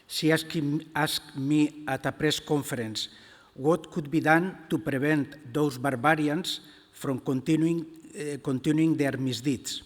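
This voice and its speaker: An older man with a Spanish accent giving a conference keynote, reading slowly and deliberately with frequent hesitations. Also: on the high side, fairly even intonation. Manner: slow and deliberate, with many hesitations. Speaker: keynote speaker. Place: large conference hall. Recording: echoey conference hall.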